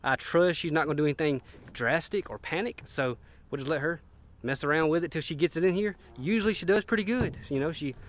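A young man, talking excitedly and casually as a fishing vlogger on a boat and by a lake. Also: a little high, fast. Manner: excited, chatty, rambling. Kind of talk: casual vlog narration. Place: outdoors on a boat, boat ramp and lakeside.

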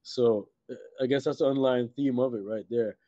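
A middle-aged man from Trinidad and Tobago chatting casually as an interview guest, halting and repeating words, with many "you know"s. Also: mid-range voice, steady tone, dark tone, no echo. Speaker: guest, filmmaker and musician. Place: indoors on a video call. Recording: muffled, dull video-call audio.

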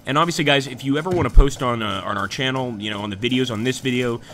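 Bright-voiced male streamer chatting over gameplay and answering chat. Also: medium pitch, fast, slight background noise. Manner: chatty, reads out and answers live chat comments. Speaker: gaming channel host. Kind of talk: casual gameplay commentary.

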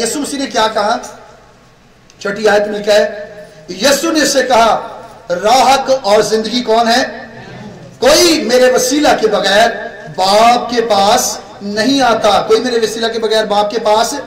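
A young man from Pakistan preaching a sermon as pastor, with a Pakistani Urdu accent, asking the congregation rhetorical questions and stretching his words for emphasis. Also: sits high. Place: small church room with red curtain, indoors. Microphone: stand mic at pulpit. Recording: echoey room, voice from far off.

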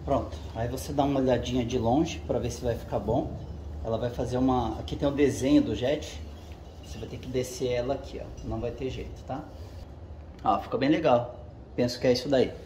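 A man's voice: medium pitch, fairly even intonation, brisk, dark tone, a little hiss or hum. Man demonstrating a task in an echoey garage. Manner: explains step by step while working with his hands, often checking with "tá?". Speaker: channel host. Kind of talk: casual how-to demonstration. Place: home garage with the door open.

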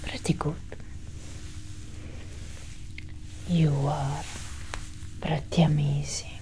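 A woman playing a curious, coolly possessive female alien doctor in a soft, whispered ASMR roleplay, breathy and close to the microphone. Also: sits low, level tone, slight background noise, low-quality recording. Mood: intrigued, fond. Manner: slow, deliberate, hushed.

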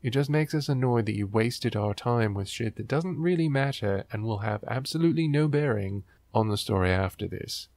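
A man's voice: mid-range voice, expressive, moderate pace, hardly any pauses, a little mellow, dry recording. A man hosting a book riffing show, reading aloud and commenting in a sarcastic, wry, exasperated way.

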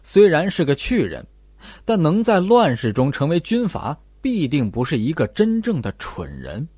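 A man reading expressive scripted narration as an audiobook narrator. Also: on the high side, normal speed, husky, dry recording.

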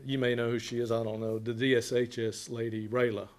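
An older man with a loud voice, a health inspector giving an informal, folksy presentation to a committee. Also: medium pitch, flat delivery, fairly quick. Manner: folksy, plain-spoken. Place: wood-panelled council chamber.

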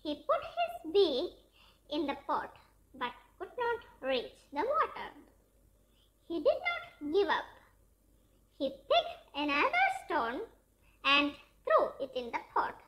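A very high, child-like voice tells children's fairy tales with expressive, sing-song phrasing. The delivery swings dramatically up and down, bright and clearly projected, at an unhurried pace. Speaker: children's storyteller. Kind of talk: scripted fairy tale and fable narration for children.

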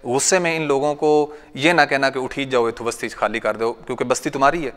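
A man's voice: mid-range voice, fairly even intonation, fast, a bit bright. A man in his thirties from Pakistan, with a Punjabi accent, gives a casual, story-filled workshop talk on goat farming to a seated audience. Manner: conversational, anecdotal, recites couplets and quotes elders. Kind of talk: informal training talk. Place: large bright training hall with a seated audience. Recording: reverberant hall.

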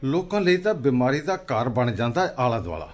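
A man's voice: mid-range voice, big pitch swings, brisk, natural voice. An actor playing an earnest, determined village man in a radio play, speaking rustic colloquial Punjabi-accented speech. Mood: somber, resigned. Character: grieving, determined village man urging action against smoke.

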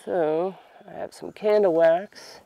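A young woman talking casually to herself while she works at a grassy campsite, slow and thinking aloud, a little congested from a long cold. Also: fairly low pitch, fairly even intonation, vocal fry, dark tone, poor audio. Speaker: bushcraft vlogger. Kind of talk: casual talk while working. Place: outdoors at a grassy campsite under a tarp. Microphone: camera on a tripod a few meters away.

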